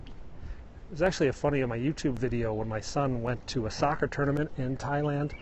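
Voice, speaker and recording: A man's voice: medium pitch, fairly quick, darkish tone, slight background noise. A man from Illinois with a Midwestern accent, casually chatting to viewers while he walks outdoors on a mountain road. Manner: chatty, explanatory, answering viewers. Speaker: vlogger live-streaming a hike. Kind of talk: casual walking livestream chat.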